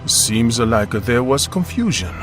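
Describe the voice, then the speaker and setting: An actor playing a tired, protective widowed father who speaks in a calm, measured way. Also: mid-range voice, soft background music. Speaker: narrator's father. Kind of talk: story narration dialogue.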